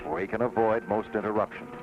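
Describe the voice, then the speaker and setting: A man's voice: lowish voice, steady tone, fast. A man reading scripted documentary narration on a vintage film soundtrack. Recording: thin phone-like vintage film sound, loud music. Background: loud music.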